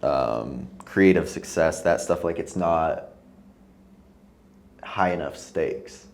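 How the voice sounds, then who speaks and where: A man's voice: extremely low pitch, slow, gravelly, a little mellow. A man of thirty-one talking candidly on a podcast, tired and losing his voice, swearing casually. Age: 30s. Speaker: podcast host.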